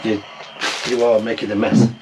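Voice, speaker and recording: A middle-aged man chatting casually as he tinkers, halting and thinking aloud, recorded indoors on a camera mic. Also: on the low side, flat delivery, noisy background. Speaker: amateur radio vlogger. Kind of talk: casual vlog chat while tinkering and unboxing. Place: small wooden cabin, indoors.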